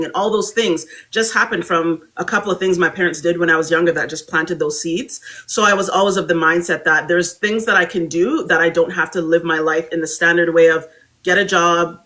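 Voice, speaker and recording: A young adult woman from Barbados, a guest speaker telling her story in an animated, reflective conversational way. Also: on the low side, brisk.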